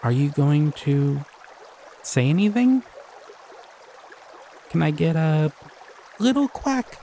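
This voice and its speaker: A man voicing a gentle, curious male slime creature in an audio roleplay; he is soft-spoken, easily delighted and chuckles often. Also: fairly high pitch, sing-song, takes it slowly, slight background noise, exaggerated voice.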